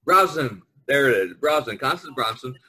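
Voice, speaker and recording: A man's voice: medium pitch, expressive, moderate pace, pushed and bright, breathy edge. An American man hosting a casual podcast chat on a video call, talkative and jokey, prodding the others along. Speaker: podcast host, visual artist. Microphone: online video conference call.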